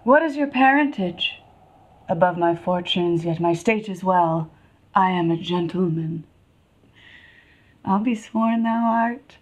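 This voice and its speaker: A woman playing a lovestruck, haughty young noblewoman in a classical Shakespearean stage monologue, with theatrical, deliberate phrasing. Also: lowish voice. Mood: wry, rapt.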